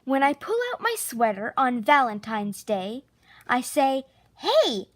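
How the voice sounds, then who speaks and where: A woman narrating a children's picture book expressively, with theatrical, drawn-out emphasis on key words. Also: high voice, very animated, no echo. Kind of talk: expressive children's storybook read-aloud.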